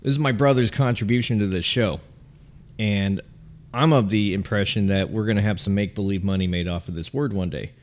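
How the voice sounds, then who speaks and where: A man hosting a podcast in casual chat, teasing his guests and quick to laugh. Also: fairly low pitch. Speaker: podcast host.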